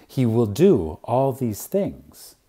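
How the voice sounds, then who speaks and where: Slow, reverent man with a husky, mellow voice. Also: medium pitch, big pitch swings. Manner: reverent, deliberate, with long pauses and emphasis, phrases trailing off softly. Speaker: reader of a spiritual lecture. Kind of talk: dramatic reading of an inspirational lecture.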